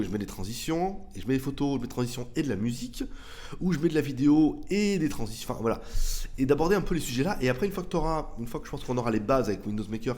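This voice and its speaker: Male host with a head cold, mid-pitched, rambling casual slangy chat. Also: darkish tone. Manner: rambling, loose and chatty, with slang and swearing. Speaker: YouTube tech channel host answering viewer questions.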